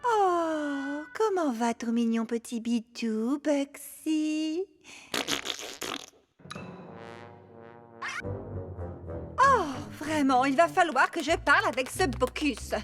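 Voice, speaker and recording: A woman's voice: sits high, animated, slow pace, few pauses, music in the background. A woman voicing a fussy, melodramatic wife in a French cartoon, sugary and complaining. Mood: cooing, doting.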